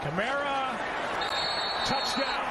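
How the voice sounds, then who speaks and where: High-voiced football announcer over crowd roar. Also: male voice, slow pace. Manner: excited calls of scoring plays. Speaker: play-by-play announcer. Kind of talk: live football commentary. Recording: game broadcast with loud crowd noise. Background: roaring stadium crowd.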